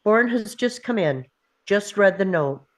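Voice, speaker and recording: A woman's voice: mid-range voice, expressive, unhurried. A woman narrating screenplay stage directions in short, clipped, punchy phrases at a table read. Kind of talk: scripted narration of screenplay action.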